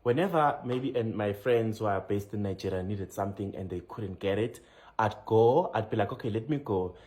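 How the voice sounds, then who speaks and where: A man's voice: lowish voice, moderate pace. A man with a South African accent, chatting casually to viewers through a countdown of his travel impressions. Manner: animated, chatty, addresses viewers as guys. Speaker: visitor sharing travel impressions. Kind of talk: casual countdown list to camera.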